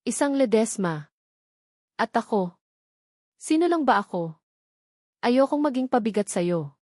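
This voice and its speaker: A woman's voice: fairly high pitch, animated, measured pace, a little mellow, dry recording, studio quality. A narrator as a frightened, defensive single mother. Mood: tearful, guilty.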